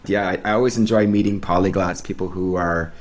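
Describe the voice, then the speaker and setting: Low-voiced man co-hosting a podcast. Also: fairly even intonation, fairly quick. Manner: earnest, reflective, scripted guest introduction. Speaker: podcast co-host.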